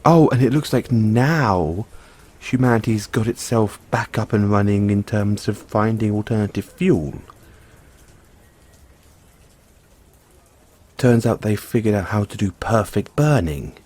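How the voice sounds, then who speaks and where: A man playing an excitable, affectionate mad scientist boyfriend in an intimate audio roleplay, musing haltingly to himself and his partner. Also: on the low side, natural voice. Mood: fascinated, geeky. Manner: musing, halting, chuckling asides, drawn-out reactions.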